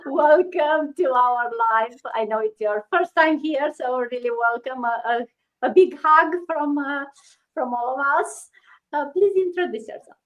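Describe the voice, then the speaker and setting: Host: woman, upbeat and a bit hesitant, highish voice, dull call sound. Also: measured pace. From Romania. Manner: bubbly greetings, frequent filler hesitations. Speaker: host of a group live stream. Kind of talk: casual group video chat.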